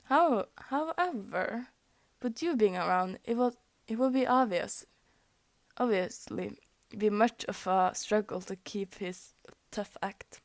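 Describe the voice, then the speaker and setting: A woman's voice: medium pitch, expressive, slow pace, no echo. A young woman whose first language is Norwegian, reading fan fiction aloud, often tripping over words and laughing at her slips. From Norway. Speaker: fanfic reader.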